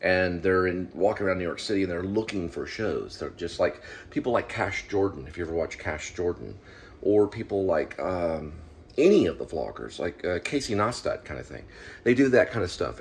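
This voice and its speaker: Low-pitched man rambling, noisy background. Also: sing-song. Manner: halting, digressive, thinking aloud. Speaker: host of his own online show. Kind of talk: rambling unscripted commentary on a TV teleplay. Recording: clear background noise.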